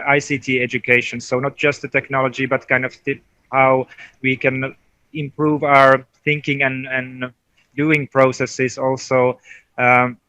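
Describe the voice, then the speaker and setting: A man's voice: medium pitch, pushed and bright, clean tone, no room sound. A man from Finland speaking on a conference panel as a technical advisor, hesitant with many fillers and restarts.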